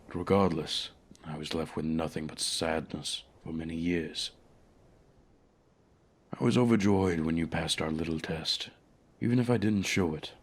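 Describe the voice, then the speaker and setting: Deep, haughty ancient dragon voiced by a man. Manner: formal, measured, grandiloquent. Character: ancient, haughty, lonely male dragon, courteous and possessive. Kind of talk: ASMR fantasy audio roleplay.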